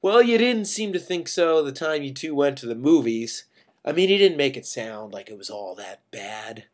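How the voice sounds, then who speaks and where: A tactless young boyfriend from a short story , voiced by a male reader. He is needling and defensive, rambling on with blunt sarcasm in an average-pitched voice. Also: expressive, brisk, natural voice.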